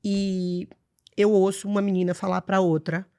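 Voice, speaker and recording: A woman's voice: medium pitch, level tone, normal speed, mellow, clear, resonant tone, no echo, clean studio sound. A woman in her forties from Salvador, Bahia, hosting a podcast interview and telling personal memories in an earnest, reflective way. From Salvador, Bahia, Brazil. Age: 40s. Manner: earnest, reflective storytelling with frequent "né?" tags. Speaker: podcast host.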